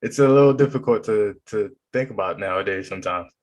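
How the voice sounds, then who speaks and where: A man with a fairly low voice hosts an interview podcast. His questions are friendly but loose, and he often rephrases and corrects himself as he goes. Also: brisk. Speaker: podcast host.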